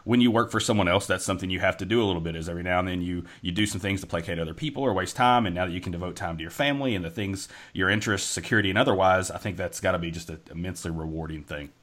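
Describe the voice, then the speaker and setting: Man, podcast host, lowish voice, quick talker, encouraging, lots of fillers. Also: a bit bright. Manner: affirming, many "you know" fillers. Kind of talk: conversational interview.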